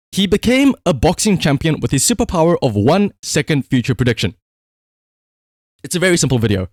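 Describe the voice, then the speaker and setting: Man, livestreamer, lively higher-pitched voice, conversational storytelling, casual commentary, rhetorical asides. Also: dry recording, studio quality.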